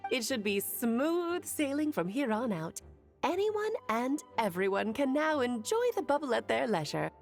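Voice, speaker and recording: A woman's voice: a little high, very animated, a little slow, faint music behind. Playful knowing witch. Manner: lilting, theatrical, gently teasing. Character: ageless cheerful witch, knowing, playful, wise. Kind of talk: video game voice acting.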